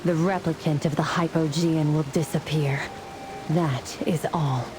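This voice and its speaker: A woman's voice: sits low, steady tone, measured pace, background noise. A woman playing a resolute celestial fire warrior in a fantasy game, speaking in a grave, formal, declamatory way. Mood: solemn, resolute. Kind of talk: scripted fantasy game voice acting. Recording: music and rain behind the voice. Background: music, rain.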